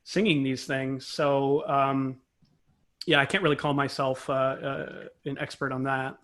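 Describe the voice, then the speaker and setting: A man's voice: mid-range voice, level tone, measured pace, a bit bright. A man speaking as an interview guest, a composer and conductor explaining things conversationally in a thoughtful, self-correcting way.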